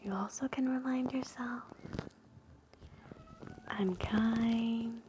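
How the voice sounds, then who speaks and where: A woman whispers gentle ASMR affirmations very slowly, right against a sensitive microphone. Her whisper sits a little high, and steady noise hisses beneath it, with faint clicks. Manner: slow, soft whispering. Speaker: ASMR host. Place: home, indoors.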